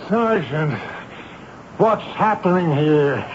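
An actor playing a pompous, flustered army major in a radio drama, speaking in halting, emphatic, formal exasperation. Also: male voice, on the high side, expressive. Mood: bewildered disbelief. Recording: dull, hissy 1970s radio broadcast.

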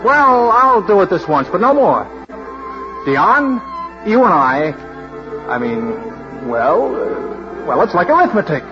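A man's voice: high voice, very animated, slow pace, heavily acted. An actor playing a boastful, awkwardly romantic young working man in an old radio drama, brash and blustering. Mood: bashful, blustering. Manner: brash, blustering, self-admiring. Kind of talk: 1940s radio drama acting. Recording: muffled old radio broadcast with music.

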